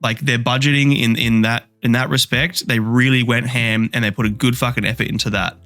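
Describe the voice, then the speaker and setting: A man's voice: mid-range voice, steady tone, a little fast, pushed and bright, dry recording, soft background music. Blunt, swearing man ranting about films. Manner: blunt, swears a lot, rants with rising energy. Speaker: podcast co-host. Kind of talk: casual movie review chat.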